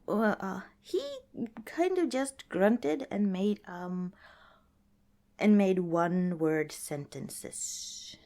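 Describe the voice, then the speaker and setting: A woman playing a friendly, protective young wanderer in an ASMR roleplay, chatting casually and gently with hesitant pauses and small chuckles. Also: medium pitch, lively intonation. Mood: fond, teasing. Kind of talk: ASMR roleplay, casual one-sided dialogue.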